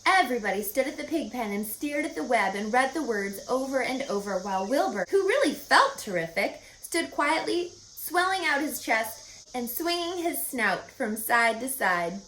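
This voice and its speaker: A woman reading a children's story aloud in an expressive, emphatic and explanatory way. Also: high-pitched, normal speed. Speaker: storybook reader. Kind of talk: animated children's read-aloud narration. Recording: roomy echo with faint background noise.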